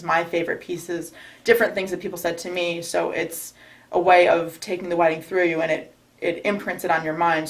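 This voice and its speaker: A woman's voice: on the low side, gravelly. A young woman, a recent bride from New York state, giving casual interview advice in a chatty way with frequent restarts. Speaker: recently married bride, guest.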